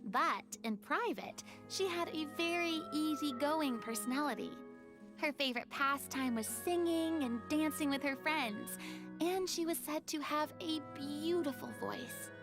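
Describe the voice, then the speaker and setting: An actress voicing an excitable, theatrical young woman with sweeping dramatic inflections, in a video game. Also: sits high, measured pace, music in the background. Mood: storytelling.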